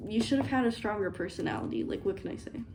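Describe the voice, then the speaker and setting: A woman's voice: medium pitch, fast talker, noisy background. A young woman in a dorm room, rambling playfully as she does her makeup for a get-ready-with-me video. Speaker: beauty vlogger. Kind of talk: casual get-ready-with-me chat.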